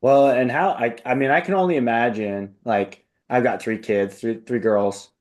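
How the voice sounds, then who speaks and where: A man's voice: mid-range voice, moderate pace, a little mellow. Male interview host, mid-range voice, informal and encouraging, chatting like a supportive buddy. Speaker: interview host and application coach. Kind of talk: casual, upbeat interview chat.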